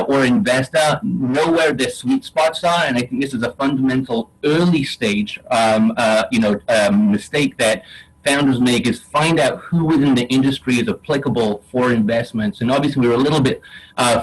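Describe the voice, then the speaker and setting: A man with a Geordie accent, an investor on a webinar panel. His voice is a little husky, and his steady middle pitch keeps stumbling over restarts and fillers as he talks. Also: poor audio. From Newcastle, England. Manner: halting, many restarts and fillers. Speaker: venture investor.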